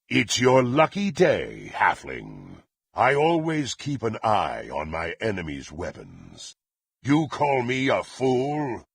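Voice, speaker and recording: An actor playing a menacing, proud and violent blackguard warrior in a fantasy video game, with a gravelly, raspy voice and haughty, threatening, formal delivery. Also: male voice, low voice, fairly bright tone, exaggerated voice. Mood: furious, murderous, then haughty, brooding. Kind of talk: theatrical fantasy game voice acting.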